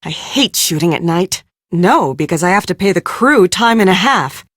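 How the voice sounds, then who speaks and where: An actress playing a harried, blunt film producer in a mystery game, brusque and sardonic. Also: female voice, fairly low pitch, expressive, moderate pace, no room sound, studio quality. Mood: irritated, weary. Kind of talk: scripted game dialogue.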